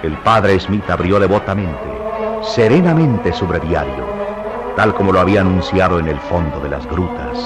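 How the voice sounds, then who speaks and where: An actor playing a grave, literary storyteller, narrating a gloomy mystery serial in measured, dramatic tones. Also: male voice, sits low, lively intonation. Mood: grave, somber. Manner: solemn, measured. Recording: music under the narration.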